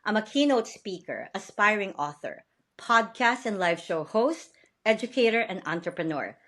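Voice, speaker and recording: A woman's voice: medium pitch, expressive, normal speed, a bit bright. A woman podcast host with a Filipino accent, speaking upbeat and laughing often on a video call. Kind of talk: podcast interview.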